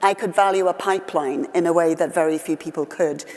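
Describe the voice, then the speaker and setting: Middle-aged woman, low and reflective. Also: brisk, a little mellow. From West Wales. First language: Welsh. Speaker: award honoree. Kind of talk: on-stage conversation. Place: large conference hall. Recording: reverberant conference hall.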